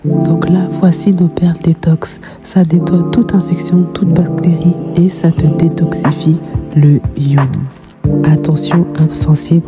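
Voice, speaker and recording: Woman doing a lilting promo, music blasting underneath. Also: sits low. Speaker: ad voice-over. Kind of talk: promotional advertisement read. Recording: ad with loud music bed.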